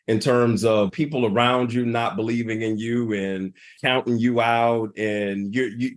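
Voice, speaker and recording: A middle-aged man speaking as a podcast guest, earnest and heartfelt, sometimes repeating words as he builds a point. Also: medium pitch. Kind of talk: reflective interview conversation.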